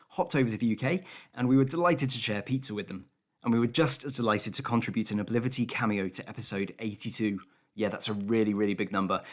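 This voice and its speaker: Male podcast writer, medium pitch, deadpan, tongue-in-cheek scripted announcement. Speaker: comedy podcast writer introducing an episode.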